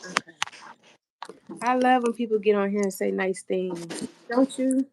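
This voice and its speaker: A woman's voice: medium pitch, measured pace, a little mellow, slight background noise. A woman hosting a casual live stream who sounds a little stuffy. She chats with her viewers and reads their comments aloud. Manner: chatty, talks to viewers in the comments. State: stuffy nose. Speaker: livestream host.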